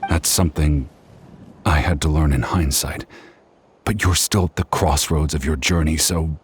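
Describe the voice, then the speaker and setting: An actor playing a weary, regretful warrior in a video game, solemn and earnest. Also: male voice, extremely low pitch, fairly even intonation, normal speed, husky, exaggerated voice.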